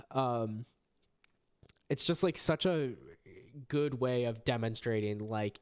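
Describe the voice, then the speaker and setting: A young man hosting a casual podcast chat, animated and full of fillers, swearing when worked up. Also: medium pitch, clear, resonant tone. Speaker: podcast host.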